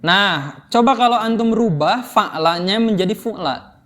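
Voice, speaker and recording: A man's voice: sits high, lively intonation, a little slow, fairly bright tone. A man with an Indonesian accent teaching Arabic grammar informally, explaining and prompting students with questions. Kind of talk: interactive lesson, explaining and quizzing students.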